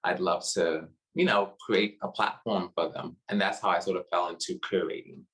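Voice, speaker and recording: A man from New City, a guest curator talking casually on a video call, relaxed with frequent pauses. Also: low-pitched. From New York City. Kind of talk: casual interview conversation. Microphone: video call webcam.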